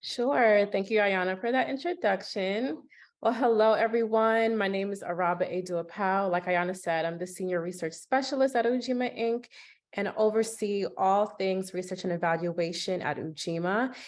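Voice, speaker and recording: Excited young woman on a panel. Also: medium pitch, normal speed. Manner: excited, upbeat, animated. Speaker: panelist, research lead. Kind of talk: panel discussion.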